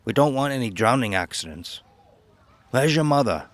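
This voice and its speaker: A man's voice: medium pitch, animated, normal speed, dry recording, lightly acted. A man playing a concerned pool lifeguard in an audio horror drama.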